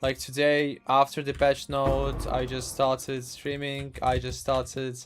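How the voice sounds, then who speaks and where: A man from Ukraine, casually commentating a live game stream, halting and repeating words as he talks. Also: medium pitch, completely flat delivery, over music.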